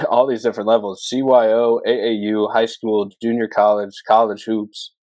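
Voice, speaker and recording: A man's voice: on the low side, darkish tone, dry recording. A man hosting a podcast, talking in a casual, rambling and enthusiastic interview style. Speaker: podcast host. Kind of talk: casual interview with long introduction.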